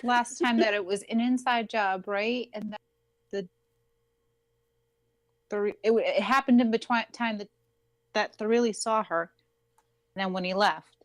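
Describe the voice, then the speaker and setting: A woman playing a tabletop game over a video call, chatting quickly and restarting mid-thought. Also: mid-range voice, dry recording, rough recording. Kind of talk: casual planning chat between players.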